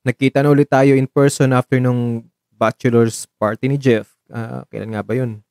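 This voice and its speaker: A man's voice: medium pitch, little pitch movement, moderate pace, creaky, darkish tone, no echo, clean studio sound, lightly acted. A man with a Filipino accent acting a teasing, playfully flustered young host on a date in a conversational radio drama. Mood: warm, teasing.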